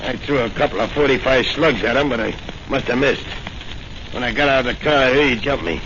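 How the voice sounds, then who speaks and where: An actor playing a rough, slangy gangster henchman in 1940s radio serial style. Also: medium pitch, fairly quick, natural voice. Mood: reporting. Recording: muffled, very noisy old radio recording.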